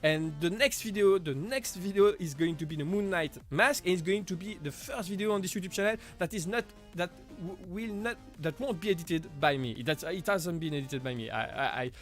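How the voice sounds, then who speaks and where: A man hosting a casual live stream Q&A, chatty and halting, with frequent small laughs. His voice is deepened while he gets over an illness. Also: faint music behind. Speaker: live stream host answering viewer questions.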